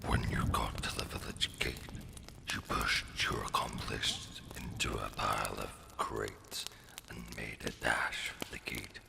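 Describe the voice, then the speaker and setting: Gravelly, extremely deep, menacing dragon. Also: male voice, level tone, in a whisper, heavily acted. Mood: wary questioning. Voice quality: gravelly, breathy growl. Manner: deliberate, interrogating. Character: huge menacing male dragon, suspicious and slow-spoken. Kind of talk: ASMR roleplay.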